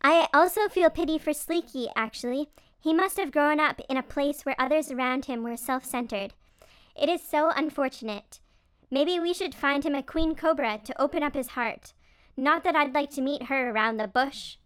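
A man's voice: extremely high pitch, moderate pace, a bit bright, dry recording, pitched above the performer's own voice. A man voicing a chatty, teasing, motherly female beaver in a storybook, with an emphatic, playful manner. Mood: reassuring, chatty.

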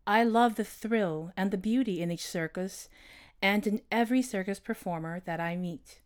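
A man voicing a calm, wise female owl who asks soft, probing questions. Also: sits high, normal speed, smooth, pitched above the performer's own voice, natural voice. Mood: teasing.

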